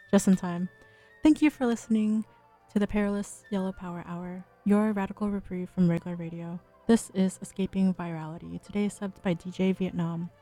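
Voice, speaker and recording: A woman's voice: lowish voice, mellow. A young woman hosting a college radio show, talking casually between songs with lots of ums and little laughs. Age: young adult. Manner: chatty, frequent ums, giggles often.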